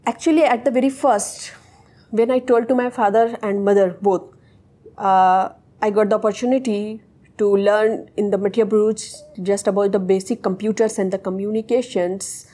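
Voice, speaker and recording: A young woman whose first language is Urdu, answering interview questions in hesitant, halting English. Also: medium pitch, steady tone, mellow. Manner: hesitant, searching for words. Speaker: interviewee, technical associate.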